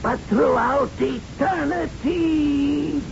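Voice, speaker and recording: Menacing, unearthly voice of doom, drawn-out. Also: male voice, very high-pitched, vocal fry, exaggerated voice. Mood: wrathful, threatening. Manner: ominous, drawn-out, declamatory. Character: menacing unearthly voice pronouncing an eternal curse. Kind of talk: 1970s radio drama acting. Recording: noisy muffled 1970s radio recording.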